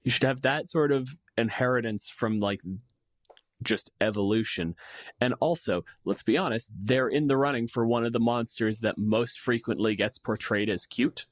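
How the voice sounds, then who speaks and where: A man's voice: mid-range voice, normal speed, no room sound. A man co-hosting a podcast in casual discussion of tabletop games, opinionated and freely swearing. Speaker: podcast co-host.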